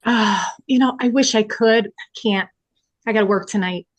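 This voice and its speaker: A woman's voice: mid-range voice, normal speed, close to an everyday voice. A woman reading the part of a quirky, blunt young woman in a sitcom table read. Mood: regretful. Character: quirky, blunt young woman who busks folk songs.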